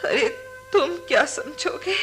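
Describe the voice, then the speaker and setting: A fiery, grieving young widow from a poor village in a radio drama. Her voice is very high and swings with emotion, delivered slowly and emphatically, with music behind it. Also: expressive. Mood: bitter, sorrowful. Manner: impassioned, emphatic, tearful delivery. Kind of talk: Hindi radio drama acting.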